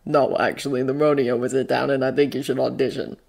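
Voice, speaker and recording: A fond male guardian from an audio story, played by a woman narrator. The voice drops low and stays understated, with dry teasing and a gruff kind of affection. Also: fairly even intonation, quick pace, darkish tone, exaggerated voice. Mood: dry sarcasm.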